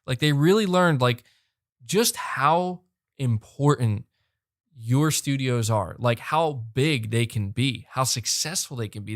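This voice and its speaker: A young man hosting a live podcast, talking fast and animated, repeating words when fired up and losing his voice. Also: a little high, dry recording, clean studio sound. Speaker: podcast host reading super chats. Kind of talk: excited live-stream chat. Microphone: close desk mic.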